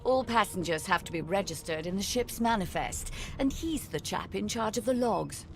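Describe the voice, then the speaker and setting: A woman's voice: medium pitch, animated, normal speed, slight background noise, natural voice. A woman playing a smug, condescending jailer in a video game, teasing and patronising with mock sweetness. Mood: breezy, smug. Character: smug, condescending female prison-ship magister, mockingly sweet jailer.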